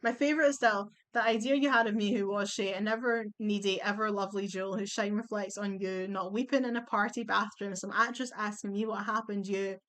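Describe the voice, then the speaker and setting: A woman's voice: medium pitch, steady tone, fairly quick, hardly any pauses, a bit bright. Chatty young woman ranking songs at home. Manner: chatty, blunt, swears and laughs easily. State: losing her voice. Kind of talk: casual chat. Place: at home at a computer.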